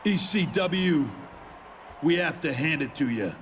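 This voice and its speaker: A man voicing a deliberate, calculating, menacing wrestler in a video game cutscene. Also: a little high, animated, a faint crowd, slight background noise. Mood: measured menace. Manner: deliberate, measured.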